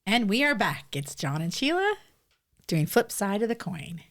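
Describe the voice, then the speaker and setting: A woman's voice: medium pitch, sing-song, normal speed. A middle-aged woman chatting casually as a podcast co-host. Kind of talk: casual conversational chat.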